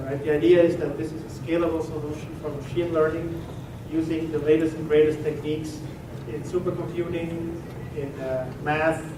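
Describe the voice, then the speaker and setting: A middle-aged man from Switzerland with a Swiss German accent, giving a relaxed technical talk to a meetup audience with plenty of "uh" and "um". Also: a little high. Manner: relaxed and conversational, with frequent "uh" and "um". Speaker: presenter at a tech meetup. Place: meeting room at a company office. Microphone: room recording from a distance. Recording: echoey room from far off, with background noise.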